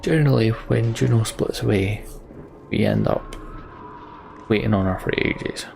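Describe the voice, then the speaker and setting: A man with a creaky voice giving commentary as the host of a video game playthrough. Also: on the low side, a little slow, darkish tone, slight background noise. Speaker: let's play host.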